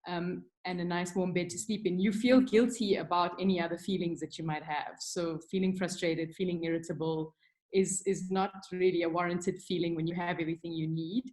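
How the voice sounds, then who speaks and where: A woman's voice: fairly low pitch, steady tone, a little fast, a little mellow. A middle-aged South African woman, a creative director, chatting candidly as a webinar guest, with many ums and restarts. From South Africa (Durban). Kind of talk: casual webinar chat. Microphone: video call.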